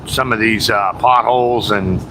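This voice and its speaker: A man streaming live from a truck cab on the highway, chatting along casually with fillers and the odd swear word. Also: steady tone, moderate pace. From United States. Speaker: truck driver streaming from the road. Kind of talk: casual livestream chat while driving. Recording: constant road and engine noise.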